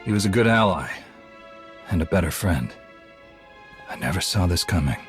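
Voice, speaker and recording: Low, expressive heroic commander. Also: male voice, moderate pace, soft background music. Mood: sorrowful. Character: heroic male Jedi commander, betrayed, earnest, resolute. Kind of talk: cinematic video game voice acting.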